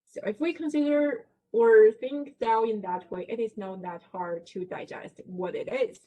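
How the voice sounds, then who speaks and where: A woman's voice: a little high, measured pace, dark tone, low-quality recording. A woman from China with a Chinese accent, giving a talk at a library book event; she explains concepts step by step, checks in with her listeners and laughs lightly. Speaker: guest lecturer.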